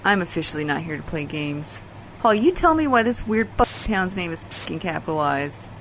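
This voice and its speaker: Woman playing sardonic slangy lady in an audio comedy, pitch swings a lot, bit of background noise. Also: very animated, brisk, fairly bright tone, low-quality recording. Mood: exasperated. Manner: sarcastic, casual, slangy, swearing. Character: brash, foul-mouthed, sardonic woman from another timeline. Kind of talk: naturalistic comedy audio drama dialogue.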